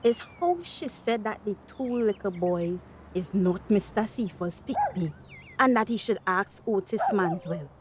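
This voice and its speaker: An actress playing a chatty, cheeky teenage daughter in a radio drama, with a Tobagonian accent and animated dialect speech. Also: female voice, medium pitch, a little slow, noisy background, close to an everyday voice. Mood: gossipy. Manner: animated, dialect-rich gossiping.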